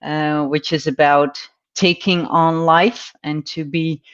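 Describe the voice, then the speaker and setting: A woman's voice: deep voice, level tone, takes it slowly, smooth, highs cut off. A woman from the Netherlands with a Dutch accent, a business coach who talks reflectively, with many ums and repeated words. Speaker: guest, business and life coach. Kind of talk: casual interview chat.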